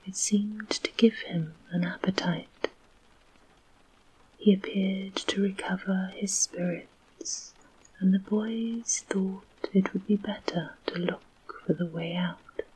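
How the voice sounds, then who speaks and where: Softly whispering woman reading a story. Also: mid-range voice, steady tone, husky, poor audio. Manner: soft, slow whisper. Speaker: narrator.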